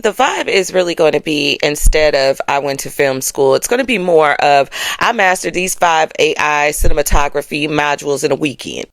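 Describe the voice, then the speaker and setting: A woman's voice: on the low side, no room sound. An American woman hosting an informal astrology forecast, speaking colloquially and emphatically with frequent check-in tags. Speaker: host of an astrology channel.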